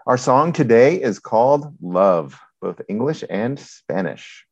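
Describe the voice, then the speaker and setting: A man's voice: medium pitch, animated, slow pace, darkish tone. A man asking questions as the host of a casual interview, on slightly muffled audio.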